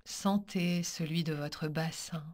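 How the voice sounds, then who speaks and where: Female yoga nidra guide, low register, very unhurried delivery, calm and soothing. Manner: calm, soothing, measured instructions with pauses. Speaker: yoga nidra teacher guiding a practice. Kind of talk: guided relaxation meditation.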